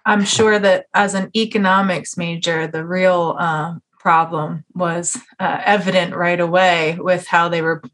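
A woman's voice: on the low side, fairly even intonation, measured pace, few pauses, fairly bright tone, rough recording. A woman hosting a casual conversational podcast interview, speaking in an opinionated, emphatic way with frequent chuckles. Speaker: podcast host interviewing a guest.

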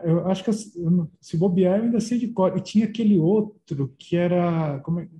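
A man's voice: high-pitched, fairly quick, warm tone. A Brazilian-accented man co-hosting a casual podcast chat, reminiscing and searching for words. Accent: Brazilian Portuguese. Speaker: podcast co-host.

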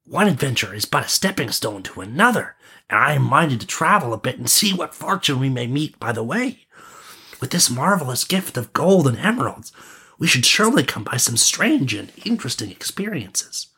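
A man's voice: mid-range voice, very animated, moderate pace, sharp tone, slightly rough, voice pushed up, exaggerated voice. A man voicing a bold, commanding young adventurer prince in a storybook, speaking in grand, old-fashioned phrases. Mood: adventurous.

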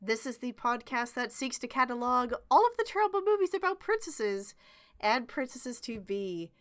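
Bright-voiced young woman with a stuffy nose. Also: on the high side, very animated, moderate pace. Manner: chatty, swears casually, self-mocking. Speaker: podcast co-host. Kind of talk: casual podcast chat.

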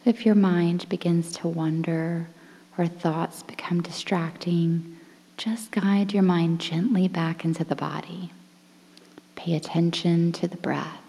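Female meditation guide, deep and mellow, soft breathy delivery, bathed in long reverb. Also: steady tone. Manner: soothing, breathy, near-whispered, measured phrases with pauses. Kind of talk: guided relaxation meditation, softly spoken. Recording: long reverberant hall-like echo.

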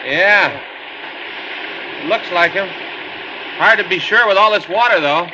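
An actor playing a steady detective partner who talks in a plain, easygoing way, in an old radio drama with surf behind him. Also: male voice, high-pitched, a little slow, noisy background. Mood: cautious. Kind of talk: 1950s radio drama acting. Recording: dull old radio transcription with crashing surf.

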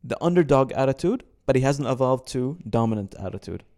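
Young man, American-sounding accent, podcast co-host, opinionated sports talk, mellow and smooth. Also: medium pitch, brisk. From Kuwait. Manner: opinionated, animated when ranting. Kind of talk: casual sports chat.

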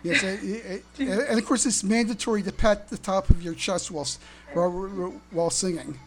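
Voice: medium pitch, brisk. A podcast co-host chatting casually about fandom in a joking, sarcastic way. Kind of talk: casual fan podcast chat. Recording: hobby podcast with clear background noise.

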